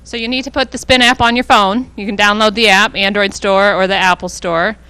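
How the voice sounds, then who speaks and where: A woman coordinator giving an informal presentation to a city council, speaking into a handed microphone with relaxed fillers. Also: mid-range voice, fairly quick, clear, resonant tone, no room sound, low-quality recording. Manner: informal, friendly explaining with fillers. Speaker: hospital community health coordinator. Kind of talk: public presentation at a council meeting. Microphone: handheld microphone handed to her.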